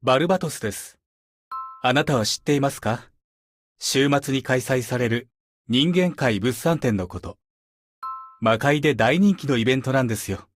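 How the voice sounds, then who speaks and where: A man's voice: mid-range voice, natural voice. A man playing a composed, gracious demon butler in a game, formal, attentive and politely inviting. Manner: formal, courteous, softly inviting. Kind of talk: Japanese game character voice lines.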